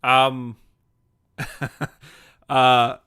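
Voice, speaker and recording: A man's voice: mid-range voice, little pitch movement, drawn-out words, bright, forceful tone, clear, resonant tone. A man hosting a casual livestream interview, asking questions in an informal, chatty way. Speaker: host interviewer.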